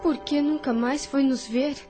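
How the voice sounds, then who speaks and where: Woman acting proud wounded mother, high voice, earnest and reproachful, radio drama delivery, music underneath. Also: steady tone, unhurried, mellow. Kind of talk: radio soap opera acting.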